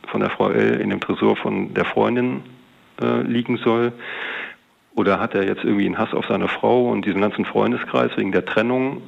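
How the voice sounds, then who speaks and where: A man speaking as a police detective, recounting an investigation off the cuff in colloquial, winding sentences with a creaky, gravelly voice. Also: on the low side. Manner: matter-of-fact, colloquial, long winding sentences. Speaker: police detective being interviewed. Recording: dull, band-limited interview recording.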